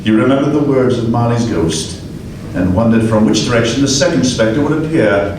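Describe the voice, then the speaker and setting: A man narrating a classic ghost story aloud in a live radio play, with measured, vivid storytelling. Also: medium pitch, expressive. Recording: echoey hall, far mic, noise.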